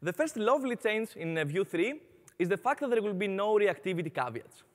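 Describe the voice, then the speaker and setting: A man's voice: high voice, very animated, a little fast, in a large hall. A young man from Greece with a Greek accent giving a conference talk on stage, upbeat, with frequent "uh" fillers. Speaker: conference speaker. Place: large conference hall on stage.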